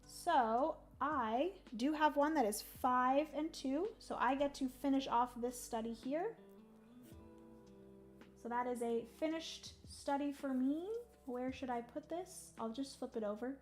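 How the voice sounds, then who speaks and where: High-voiced woman streaming a board game. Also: takes it slowly, soft background music. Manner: chatty, thinks aloud, greets chat viewers. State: losing her voice. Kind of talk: casual livestream play-by-play.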